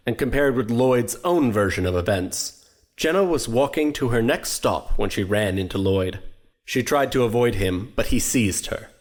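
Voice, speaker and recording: An actor playing a measured, formal director of a reenactment in an audio drama, questioning carefully. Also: medium pitch, lightly acted.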